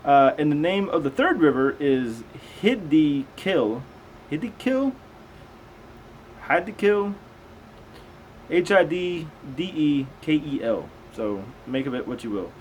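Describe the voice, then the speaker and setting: A man's voice: on the high side, sing-song, slow, slight background noise. A man reading scripture aloud, stumbling over unfamiliar words and dropping in casual, conversational comments.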